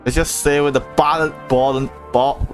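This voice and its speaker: A man's voice: medium pitch, fairly even intonation, a little slow, faint music behind. A man livestreaming a game, chatting casually and reacting informally with some swearing. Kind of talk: casual gameplay livestream chat.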